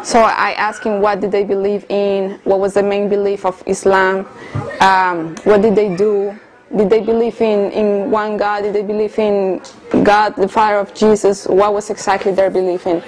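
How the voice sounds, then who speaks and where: A woman of around thirty from Colombia, speaking English, earnestly telling her personal story as an interviewee with soft hesitations. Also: medium pitch, level tone, normal speed, poor audio. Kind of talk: personal testimony in conversation.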